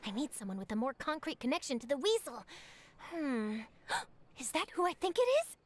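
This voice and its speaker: A woman's voice: high voice, sing-song, normal speed, slight background noise. A woman playing an eager young female newspaper journalist in a video game, telling things theatrically, with music under her voice. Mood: dramatic, determined. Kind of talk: animated game voice acting.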